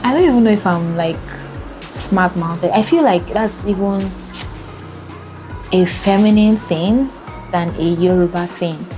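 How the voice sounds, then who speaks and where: A woman's voice: fairly low pitch, unhurried, pauses often, mellow. Chatty Nigerian woman, music behind. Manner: chatty, laughs often, filler-heavy. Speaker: vlogger. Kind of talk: casual talk to camera.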